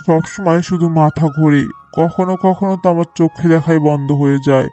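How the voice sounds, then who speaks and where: A man voicing a gentle, poor, worried village father in a cartoon moral story, explaining things plaintively and deliberately. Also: on the high side, highs cut off, lightly acted.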